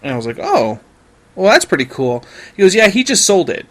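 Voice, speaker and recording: A man's voice: high voice, wildly swooping pitch, brisk, fairly bright tone. A man chatting casually on a podcast, telling stories in a jokey way and acting out the dialogue. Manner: jokey, swearing, acts out quoted dialogue. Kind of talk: casual podcast chat, animated storytelling.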